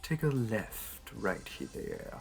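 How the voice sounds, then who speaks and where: A man's voice: fairly low pitch, wildly swooping pitch, drawn-out words, gravelly, heavily acted. A man playing a suave, flirty supervillain in an audio roleplay, with a teasing, purring, theatrical delivery. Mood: flirty, admiring. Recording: music under the voice. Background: music.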